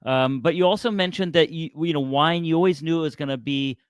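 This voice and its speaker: A man's voice: on the high side, a little fast, smooth, dry recording. Dry-sounding middle-aged male podcast host. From California. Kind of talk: casual interview questions.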